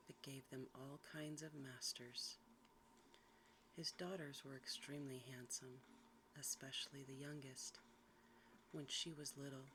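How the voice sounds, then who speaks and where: A hushed, soft-spoken narrator reads a bedtime tale in ASMR style. The voice sits at a medium pitch and stays quiet and gentle. Clear background noise and ambience run behind the reading. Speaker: narrator, storyteller.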